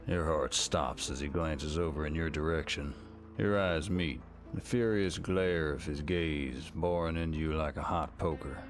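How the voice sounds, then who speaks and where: Low-voiced man narrating a story. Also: steady tone, measured pace, faint music behind. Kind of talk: second-person scripted story narration.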